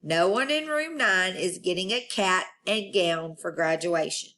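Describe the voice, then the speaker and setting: Woman reading as female kindergarten teacher, storybook, patient, measured, explanatory. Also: fairly low pitch, lively intonation, pushed and bright. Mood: apologetic.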